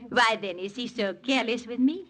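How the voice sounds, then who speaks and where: An actress as a coy French femme fatale. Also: female voice, on the high side, brisk, fairly bright tone, lightly acted. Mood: teasing, coy. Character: alluring French woman, teasing, shrewd, coolly challenging. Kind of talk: 1940s radio drama acting.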